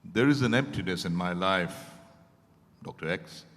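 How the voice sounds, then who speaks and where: A man's voice: sits low, normal speed, fairly bright tone. An actor playing a proud, defensive, solitary man in an emphatic, formal theatrical dialogue. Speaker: stage reader in a dramatized reading. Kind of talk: theatrical dramatized reading. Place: event hall at a hotel, live stage reading. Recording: echoey hall with long reverb.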